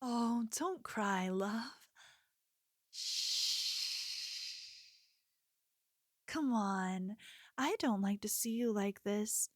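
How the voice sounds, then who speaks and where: A woman's voice: medium pitch, very slow, no room sound, studio quality. A woman playing an obsessive, possessive young yandere in an ASMR roleplay, speaking intimately and coaxingly, like a lover with menace underneath. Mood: soothing, possessive.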